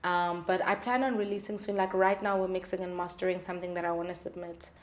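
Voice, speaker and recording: A woman's voice: lowish voice, steady tone, fast talker, a little hiss or hum. A woman talking candidly and earnestly as a guest in a conversational interview. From South Africa. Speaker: singer-songwriter, interview guest.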